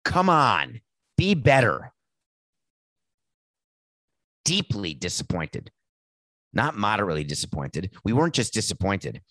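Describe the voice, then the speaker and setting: Raspy sarcastic male sports host. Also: lowish voice, very animated, normal speed, a bit bright, dry recording, studio quality. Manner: sardonic, mocking. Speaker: podcast host.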